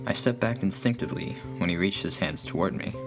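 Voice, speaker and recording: A man's voice: deep voice, fairly quick, over music. A man reading a scripted first-person horror story as its narrator.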